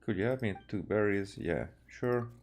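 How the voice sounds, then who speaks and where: A man doing casual gameplay commentary as a streamer, with a halting, hesitant delivery. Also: fairly low pitch, a little mellow. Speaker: game streamer. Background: game music.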